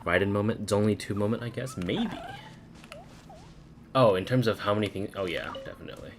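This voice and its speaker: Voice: deep voice, fairly quick, a little hiss or hum. A game streamer giving casual, rambling live commentary on a playthrough.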